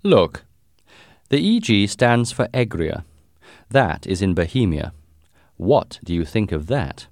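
A man voicing a brilliant, brisk English gentleman detective in an audiobook, commanding, precise and confident. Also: lowish voice, very animated, dry recording, studio quality, heavily acted. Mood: brisk, assured. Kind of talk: audiobook character dialogue.

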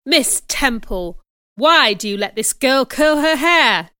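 A woman's voice: a little high, lively intonation, unhurried, sharp tone, smooth, dry recording, clean studio sound. A woman voicing a stern, angry, pious schoolmaster in an audiobook, with a commanding, scolding, emphatic delivery. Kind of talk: audiobook character voice.

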